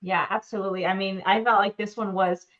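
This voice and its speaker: A woman's voice: mid-range voice, fairly even intonation, fast. A woman in her forties co-hosting a casual panel chat, speaking in an earnest, emphatic way over a video call. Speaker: co-host of a pop culture live show. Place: home room, indoors, video call. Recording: dull video-call sound, clear noise.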